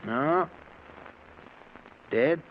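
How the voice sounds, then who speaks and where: An actor playing a kindly, practical country doctor with an American accent and a matter-of-fact folksy manner. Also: male voice, fairly high pitch, drawn-out words. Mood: concerned. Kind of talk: old-time radio drama acting. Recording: muffled old radio recording with hiss.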